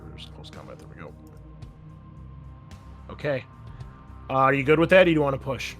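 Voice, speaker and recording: A man's voice: mid-range voice, very animated, normal speed. A man narrating a tabletop roleplaying game as game master, conversational and explanatory. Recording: music playing behind the voice. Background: background music.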